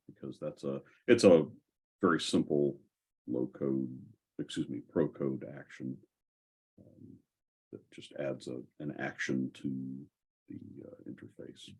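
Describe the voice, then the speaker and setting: A man hosting a casual tech discussion, speaking haltingly as he thinks aloud. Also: extremely low pitch, mellow, husky. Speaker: host of a software community call.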